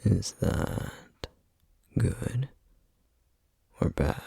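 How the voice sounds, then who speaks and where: A man playing a solemn, weary, duty-bound flame demon in an ASMR roleplay, whispering breathily and haltingly. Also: mellow, no room sound, studio quality. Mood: taken aback. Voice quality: breathy, husky whisper with gravelly edges. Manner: slow, halting, measured delivery with heavy pauses. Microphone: close microphone, dry sound.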